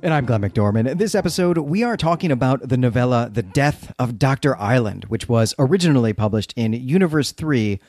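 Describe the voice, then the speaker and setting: A man speaks as the co-host of a literary podcast. His voice sits at a middle pitch, and he explains things in a chatty, conversational way. The sound is dry, with no room around it. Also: animated, a little fast, few pauses, darkish tone, no room sound.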